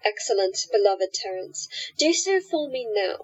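An actress playing a seductive hypnotist who coaxes and commands in a radio play, recorded in dull lo-fi sound. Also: female voice, medium pitch, lively intonation, normal speed, husky. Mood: seductive coaxing.

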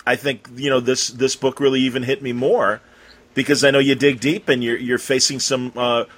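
A man's voice: medium pitch, little pitch movement, moderate pace, a bit bright. An American man from Illinois hosting an interview podcast in a chatty, informal, conversational way. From Illinois, USA. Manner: chatty, informal, says "man" and "you know". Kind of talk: casual conversational hosting with ad reads.

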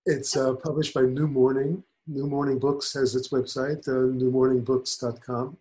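An older man who is a spiritual teacher and author, answering interview questions in a measured, thoughtful way. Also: medium pitch, level tone, warm tone, a little hiss or hum.

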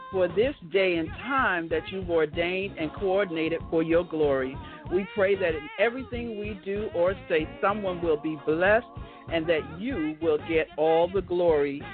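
Phone-like sound, music behind, American woman, talk show host, exuberant, devout, chatty. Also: on the low side. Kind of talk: upbeat chatty hosting with prayer. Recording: band-limited phone-like line, music behind.

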